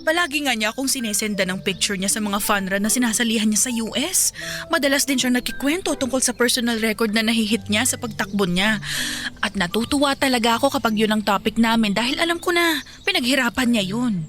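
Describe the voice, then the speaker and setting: A woman's voice: on the high side, fairly quick, a bit bright, soft background music, lightly acted. A woman playing a mature mother and wary girlfriend in a romance drama, conversational, with a Filipino accent. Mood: fond, chatty. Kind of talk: dialogue in a radio-style romance drama.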